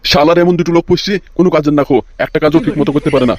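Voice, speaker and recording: A man's voice: a little high, steady tone, rapid-fire, no echo, clean studio sound, lightly acted. A man voicing a bossy, flirtatious local politician in a comic cartoon, often repeating his words in a gushing way. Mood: bossy, irritated. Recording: loud music behind the voice.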